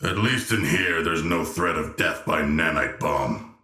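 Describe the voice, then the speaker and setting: An actor voicing a hulking humanoid shark villain in a video game, erudite and gentle yet proud, speaking in formal, measured, deadpan phrases. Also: male voice, rumbling low, roomy sound, heavily acted. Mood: solemn, proud. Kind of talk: video game character voice lines.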